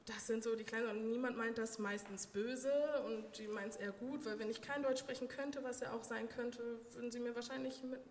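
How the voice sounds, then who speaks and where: A woman's voice: fairly high pitch, flat delivery, a little fast, few pauses. A woman from Germany, a native German speaker, answering as an interview guest in a casual, personal way with wry, self-deprecating humour. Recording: echoey hall reverb, faint noise.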